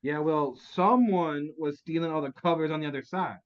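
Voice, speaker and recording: An actor playing an exasperated, foul-mouthed crook in a comedic script read, whiny and indignant. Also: male voice, on the high side, normal speed, close to an everyday voice. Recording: slightly dull, lo-fi audio.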